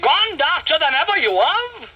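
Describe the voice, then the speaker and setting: A man voicing a grumpy, snappish witch woman with a shrill, screechy voice. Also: sing-song, moderate pace, highs cut off, a crowd in the background. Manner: snappish, scolding.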